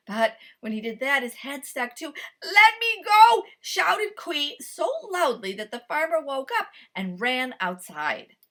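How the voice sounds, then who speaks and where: A woman reading a picture book aloud in an expressive, animated storytelling manner. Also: sits high, measured pace, few pauses.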